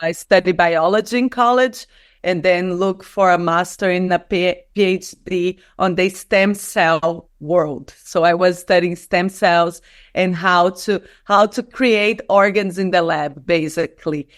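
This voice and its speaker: A woman's voice: on the low side, fairly even intonation, measured pace. Brazilian-accented woman explaining earnestly. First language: Portuguese. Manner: non-native English phrasing, earnest explaining. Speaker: guest, skin and stem cell researcher. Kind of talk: conversational interview, personal storytelling.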